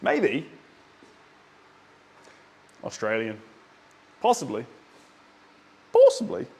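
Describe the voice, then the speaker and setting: Chatty Kiwi painter on a livestream. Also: male voice, medium pitch, wildly swooping pitch, very slow, gravelly, a little mellow, slightly rough. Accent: New Zealand. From New Zealand. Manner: chatty, rambling with many ums, reads out chat names. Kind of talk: casual livestream chat while painting.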